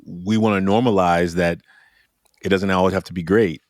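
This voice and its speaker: Male podcast host, deep pitch, dry close recording, reassuring, encouraging, conversational. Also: steady tone, normal speed, no echo. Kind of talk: casual, conversational interviewing.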